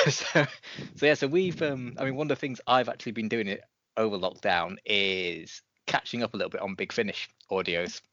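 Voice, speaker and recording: A man's voice: on the low side, moderate pace, fairly bright tone, no room sound. A man hosting a review podcast, chatting casually. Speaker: podcast host. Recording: dull, dry podcast audio.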